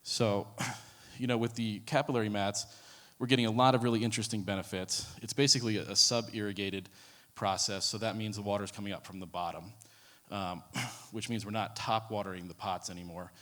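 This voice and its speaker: A man with a low voice presents to a room, speaking casually and filling pauses with ums and false starts. His voice carries a long hall reverb. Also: fairly even intonation, fairly bright tone. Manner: casual, many ums and restarts. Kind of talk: conference talk. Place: conference room.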